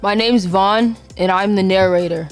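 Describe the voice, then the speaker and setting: A woman's voice: mid-range voice, fairly even intonation, moderate pace, faint music behind. A young female student reading scripted folktale narration as the narrator. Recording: faint noise, amateur school podcast.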